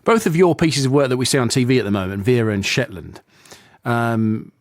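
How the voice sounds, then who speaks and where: Male radio host, chatty and eager, mid pitch, dry studio sound. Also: brisk, no echo. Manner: chatty, enthusiastic, rambling questions. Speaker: radio presenter. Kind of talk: radio interview.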